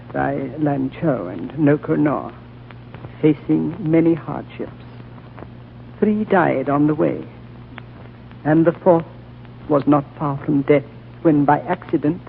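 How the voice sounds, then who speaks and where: A man playing an ancient, gentle, solemn lama in a 1940s radio drama, speaking in a slow, courtly, measured way. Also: a little high. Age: older. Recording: old narrow-band radio recording, faint noise.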